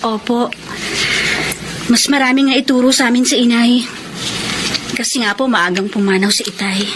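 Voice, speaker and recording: An actress playing an honest young woman hurt by her past, soft-spoken and polite, in a radio drama. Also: fairly high pitch, fairly even intonation, normal speed, natural voice. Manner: soft-spoken, tearful at times. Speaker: Chona, young daughter. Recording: radio drama with clear background noise.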